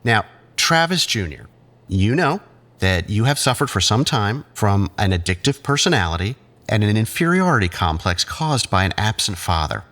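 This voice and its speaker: A male actor playing a condescending, controlling psychiatrist who talks in patronizing, measured therapy-speak in an audio drama. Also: on the low side, sing-song, a bit bright. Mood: falsely soothing.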